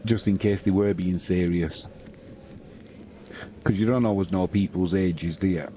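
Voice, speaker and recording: A British man in his fifties talks through football scores on a live stream, slow and chatty in manner. His voice sits deep and warm. A faint hiss of background noise stays under him throughout. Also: steady tone. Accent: British English. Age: 50s. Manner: slow, unhurried, chatty, reads scores aloud. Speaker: football commentator and host. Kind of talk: relaxed live-stream football commentary and chat.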